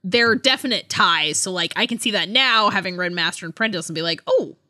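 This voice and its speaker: Animated, bright-voiced woman chatting casually. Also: medium pitch, fast, no room sound, studio quality. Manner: animated, giggly, self-interrupting. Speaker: podcast co-host. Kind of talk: casual book discussion chat.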